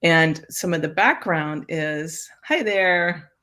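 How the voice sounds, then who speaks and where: Halting older woman hosting a talk show. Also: deep voice, bright, forceful tone. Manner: halting, with many fillers and restarts. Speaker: host. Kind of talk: casual interview.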